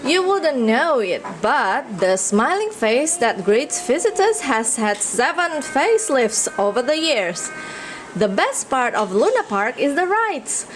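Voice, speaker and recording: Female children's read-aloud storyteller, higher voice, unhurried expressive delivery, slight background noise in the recording. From Indonesia. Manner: expressive, pausing to ask listeners questions.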